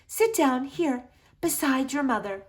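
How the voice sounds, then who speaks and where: A woman voicing a kindly, calm woman doctor in a storybook, with a soothing manner. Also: sits high, unhurried, pitched above the performer's own voice. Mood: reassuring.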